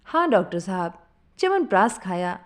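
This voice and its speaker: A woman's voice: mid-range voice, big pitch swings, normal speed, lightly acted. A woman voicing a naive, gluttonous truck driver in a comic story, with a guileless, colloquial manner. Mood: sheepish, earnest.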